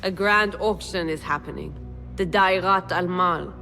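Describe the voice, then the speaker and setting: An actress playing a blunt, streetwise assassin informant in a video game, speaking in a wry, plain-spoken way. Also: female voice, fairly low pitch, unhurried, gravelly, sharp tone, faint music behind, exaggerated voice. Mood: briefing. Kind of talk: cinematic video game acting.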